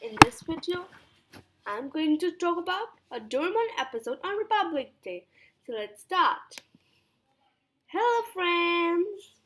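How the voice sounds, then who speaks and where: Very high-pitched woman presenting her video. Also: big pitch swings, normal speed, rough recording. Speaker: YouTube channel host. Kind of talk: scripted video intro and outro.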